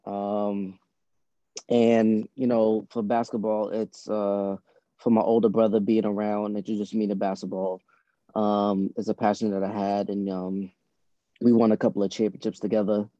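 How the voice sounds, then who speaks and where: A man's voice: fairly low pitch, level tone, moderate pace, mellow, no room sound, muffled recording. A man who grew up in Boston, a basketball coach, giving casual, conversational answers as a podcast guest. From born in Trinidad, grew up in Boston from age six. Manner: conversational, frequent "you know".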